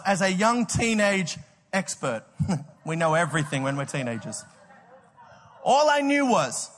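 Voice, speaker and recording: An Australian man preaches with a high, bright-toned voice that rings out in a big reverberant hall. He tells his story with energy, stumbles over repeated words and laughs at his own jokes. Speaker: pastor. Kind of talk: sermon with personal storytelling.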